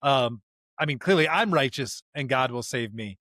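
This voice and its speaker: A man co-hosting a podcast, casually discussing scripture in an informal, animated way with everyday slang and playful paraphrase. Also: medium pitch, moderate pace, no echo, clean studio sound. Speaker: podcast co-host.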